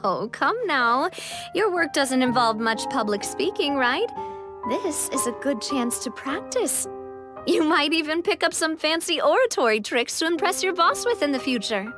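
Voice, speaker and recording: A woman's voice: high-pitched, big pitch swings, moderate pace, music in the background. An actress playing a showy, playful young woman who loves the spotlight, in a video game.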